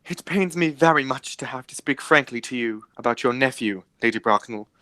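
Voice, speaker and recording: An actor playing a formal, dryly polite Victorian gentleman guardian in a radio comedy, speaking with measured emphasis. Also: medium pitch, no echo, lightly acted. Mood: firm, disapproving. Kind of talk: stage comedy as amateur radio play.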